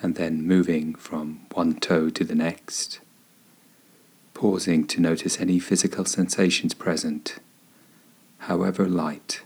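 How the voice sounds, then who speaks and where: Male meditation guide: deep, breathy-husky tone, gentle and soothing, unhurried delivery with room to pause. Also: little pitch movement. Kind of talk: guided body scan meditation narration.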